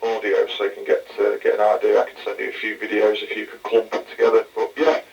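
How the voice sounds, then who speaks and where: A man's voice: fairly low pitch, completely flat delivery, fast talker, creaky. An English man with an English accent, rambling casually with fillers as the other operator on a radio test. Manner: rambling, hesitant, many fillers. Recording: muffled distant radio transmission.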